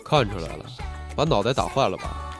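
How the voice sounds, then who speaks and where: Guy acting a snarky doctor, low voice with lots of swing, dry and biting, music behind. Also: expressive, fairly quick, natural voice. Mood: dry sarcasm. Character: sharp-tongued, sardonic male doctor. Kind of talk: audio drama acting.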